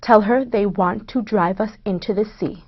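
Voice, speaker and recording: A woman's voice: mid-range voice, moderate pace, lightly acted. An actress in a play reading, playing a worried, protective woman in an Israeli family, on a dull recording. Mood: tense.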